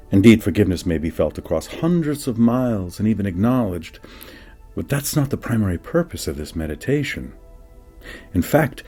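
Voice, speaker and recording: A man reading a scripted spiritual meditation teaching in a measured, deliberate way, with dramatic pauses. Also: on the low side, warm tone, slightly rough. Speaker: reader of a spiritual book. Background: faint music.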